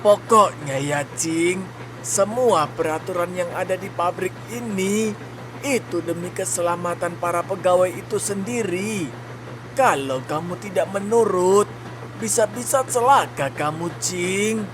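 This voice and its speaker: A man's voice: high-pitched, unhurried, noisy background. A man playing a bossy male factory supervisor in a cartoon drama, with a chiding, authoritative manner. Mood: stern. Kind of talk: animated cartoon drama dialogue.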